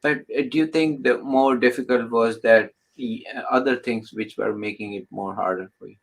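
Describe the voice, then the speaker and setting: A man hosting an interview who talks haltingly, restarting his sentences and adding encouraging remarks. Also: mid-range voice, creaky, rough recording. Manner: halting, with many restarts and filler words. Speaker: host.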